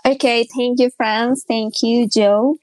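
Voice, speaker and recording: A woman's voice: fairly high pitch, steady tone, a little mellow, no echo. A Colombian woman in her twenties, a native Spanish speaker, speaking careful English with fillers on a video call. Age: 20s. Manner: careful, unhurried, frequent fillers. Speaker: closing coordinator.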